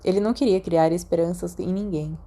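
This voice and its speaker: Mellow Brazilian woman narrating fiction. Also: fairly low pitch, fairly even intonation, fairly quick. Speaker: audiobook narrator.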